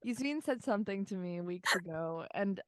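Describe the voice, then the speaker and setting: A woman co-hosting a podcast, joking energetically in casual banter and swinging loud at times. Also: mid-range voice, animated, normal speed, smooth, no echo. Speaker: podcast co-host. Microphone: remote call, separate room.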